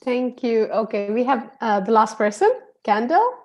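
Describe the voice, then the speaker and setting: A woman's voice: on the high side, expressive, normal speed, darkish tone. A woman from Bangladesh hosting introductions on a video call, friendly and chatty with frequent laughs. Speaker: online program facilitator.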